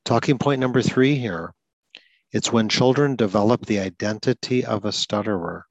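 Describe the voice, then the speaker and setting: A man's voice: fairly low pitch, moderate pace, vocal fry, a little mellow, no room sound, highs cut off. A man who stutters, hosting a talk about school support, explaining things earnestly with occasional repeated words. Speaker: podcast host.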